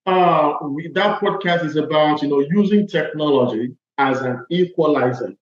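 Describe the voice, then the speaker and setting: A man's voice: on the high side, moderate pace. Nigerian man in an echoey, slightly muffled room. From Lagos, Nigeria. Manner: emphatic, punctuates points with "okay" and "all right". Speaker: podcast guest, agile transformation consultant. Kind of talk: conversational interview answers.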